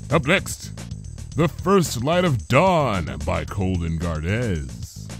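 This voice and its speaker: A man reading fan fiction aloud as expressive, dramatic story narration. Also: on the low side, no echo.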